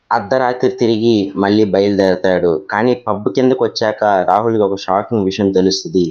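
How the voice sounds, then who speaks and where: A man's voice: low voice, darkish tone, dry recording. A man narrates a scary tale as a storytelling host. The recording is dry, with very little room sound, and slightly dull and lo-fi. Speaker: horror story channel host.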